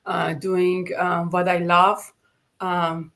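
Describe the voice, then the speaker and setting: A woman's voice: on the low side, flat delivery, very slow, gravelly, a bit bright. A woman in her forties from Iran introducing herself on a video call, earnest and hesitant with many fillers. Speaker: hairstylist.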